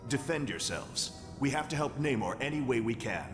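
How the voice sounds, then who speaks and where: An actor playing an upright superhero team captain in a video game, speaking firmly and earnestly. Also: male voice, mid-range voice, lively intonation, moderate pace, in a large hall, music in the background, lightly acted. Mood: earnest, resolute. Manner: firm, earnest, commanding. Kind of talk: video game hero acting.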